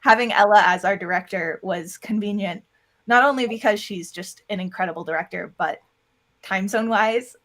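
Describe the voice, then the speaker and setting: A woman's voice: medium pitch, moderate pace, a bit bright. Casual, candid woman in an interview. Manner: candid, informal, heavy use of "like". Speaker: interview guest, audio drama producer and voice actor.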